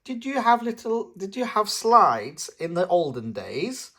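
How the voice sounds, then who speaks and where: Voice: mid-range voice, very animated, measured pace, bright, forceful tone, pitched above the performer's own voice, exaggerated voice. A storybook reader voicing a bossy, eager little girl piglet with a chirpy way of declaring things. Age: child. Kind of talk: children's storybook read-aloud.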